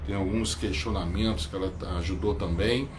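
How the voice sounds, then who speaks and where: A man in his forties with a Brazilian accent, a native Portuguese speaker, hosting a casual online interview in a halting way with repetitions. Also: low voice, steady tone, background noise. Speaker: interview host. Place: home balcony.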